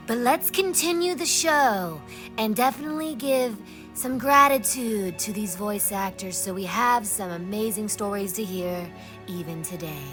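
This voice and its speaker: A woman hosting a horror radio show with scripted, theatrical, measured commentary. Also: medium pitch. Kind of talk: scripted spooky host commentary.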